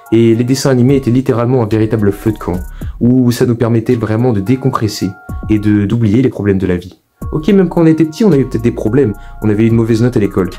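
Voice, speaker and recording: Young adult man, mellow dark timbre, mid pitch, emphatic colloquial opinion commentary, music behind. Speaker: video essay host.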